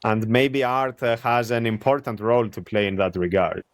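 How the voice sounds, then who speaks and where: Male host, expressive and chatty, acts out quotes, dry close sound. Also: mid-range voice, normal speed, dry recording. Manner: animated, acts out imagined quotes, laughs easily. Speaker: podcast host. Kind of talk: casual conversation.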